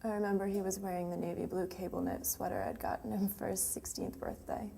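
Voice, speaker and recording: Actress doing a grieving young woman, gravelly fry, talking haltingly about lost love, dramatic monologue, noisy background. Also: mid-range voice, sing-song, mellow. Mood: sorrowful. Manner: hesitant, emotional, with trailing phrases. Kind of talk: dramatic monologue, confessional and conversational. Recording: clearly noisy room ambience.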